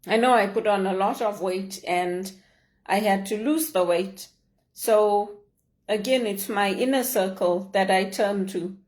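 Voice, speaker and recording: A middle-aged woman from Zimbabwe, speaking in an earnest, measured and heartfelt way in a personal reflective monologue. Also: medium pitch, a little mellow. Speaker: leadership vlogger.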